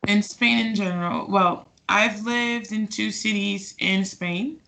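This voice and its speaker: A woman's voice: medium pitch, fairly even intonation, slow, gravelly, bright, forceful tone, a little hiss or hum, poor audio. A woman in her twenties from Portugal, speaking casually as an interview guest, with many fillers and restarts. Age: 20s.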